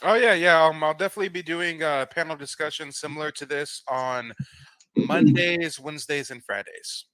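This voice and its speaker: A man hosting a live stream panel discussion. His voice is fairly high and bright with a forceful edge, recorded dry and close, and he moves things along briskly. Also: clear, resonant tone, no room sound, rough recording. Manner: upbeat, brisk moderator. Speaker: stream host.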